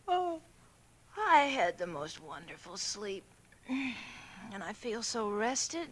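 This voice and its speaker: A lively, fretful young wife. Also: female voice, medium pitch, slow, long pauses. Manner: anxious, fussing, sighs. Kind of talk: 1940s radio drama acting.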